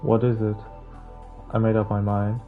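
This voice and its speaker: A man reading visual novel text aloud as a playthrough host, stumbling over words now and then in a halting way. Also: lowish voice, little pitch movement. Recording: muffled lo-fi mic, music behind. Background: game music.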